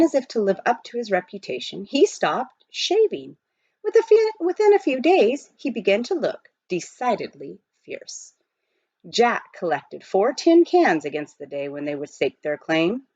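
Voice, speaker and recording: A woman reading a children's novel aloud as an expressive storyteller. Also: medium pitch, no room sound, highs cut off.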